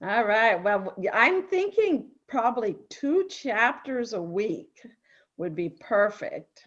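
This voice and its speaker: A woman's voice: a little high, very animated, slow, fairly bright tone, highs cut off. A woman hosting a children's read-aloud show, addressing young listeners. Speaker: host.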